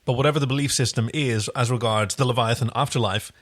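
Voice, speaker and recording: A man's voice: mid-range voice, fairly even intonation, quick pace, a bit bright. A man co-hosting a podcast with casual, enthusiastic, wordy recap chat about television. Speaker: podcast co-host.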